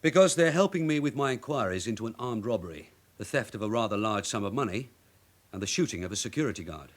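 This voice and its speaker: Shrewd old-hand cop, low voice, really varied delivery, needling and dry, radio play. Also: male voice, expressive, fast talker. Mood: curtly formal. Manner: blunt, wry, needling. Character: shrewd, dogged veteran London detective sergeant. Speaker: actor in a radio drama. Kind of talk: radio crime drama acting.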